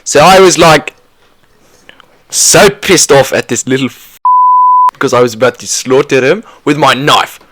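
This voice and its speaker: A man's voice: mid-range voice, measured pace, pauses often, background noise, close to an everyday voice. A man playing a boastful fake war veteran. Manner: boastful, offhand. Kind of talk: comedy podcast bit.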